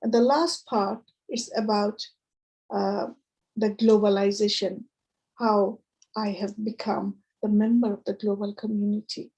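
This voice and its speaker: A woman with a Bengali accent reading her poetry and introducing it to listeners in short, deliberate phrases. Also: medium pitch, steady tone, warm tone, poor audio. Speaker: poet.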